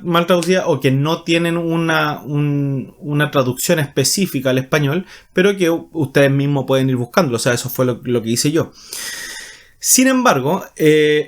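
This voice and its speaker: A man with a Chilean Spanish accent talking casually and rambling, with many fillers and self-corrections as he explains his path as a writer. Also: on the high side. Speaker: writer and channel host. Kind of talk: casual monologue.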